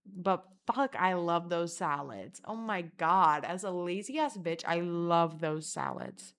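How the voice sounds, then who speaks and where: A woman telling a rambling, profane story in a casual vlog, mocking herself and breaking into loud laughter. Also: fairly low pitch, slow pace. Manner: self-mocking and animated, bursts of loud laughter. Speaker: vlogger.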